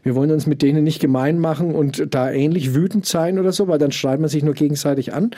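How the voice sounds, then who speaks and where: A middle-aged man with a Franconian accent, answering interview questions in a measured way, often pausing with fillers as he searches for words. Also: a little high, warm tone. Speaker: interview guest.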